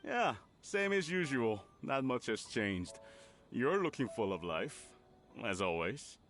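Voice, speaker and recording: A man's voice: mid-range voice, big pitch swings, takes it slowly, a bit bright. An actor playing an easygoing, caring village family man in a video game. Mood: friendly, concerned. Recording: music behind the voice.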